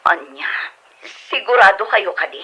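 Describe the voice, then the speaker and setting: A woman's voice: mid-range voice, animated, a little slow, pushed and bright, slightly rough, heavily acted, poor audio. A woman acting a motherly, emphatic mother in an Ilocano audio drama, with an Ilocano accent, heard through a telephone filter. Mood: shocked, indignant. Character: indignant, long-suffering mother working abroad.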